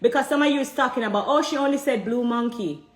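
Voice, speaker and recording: A woman's voice: on the high side, quick pace, roomy sound. A woman from Jamaica with a Jamaican accent, talking straight to her viewers and pressing them with repeated rhetorical questions. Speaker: vlogger addressing viewers. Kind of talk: direct-to-camera commentary.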